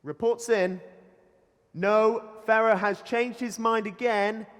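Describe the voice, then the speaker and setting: A man's voice: high-pitched, steady tone, takes it slowly. A man playing a cheesy news anchor, presenting a scripted mock newsreel in an announcerly, punning style. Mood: announcing. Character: cheesy male newsreader anchor delivering dad-joke bulletins. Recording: long hall reverb, loud music bed.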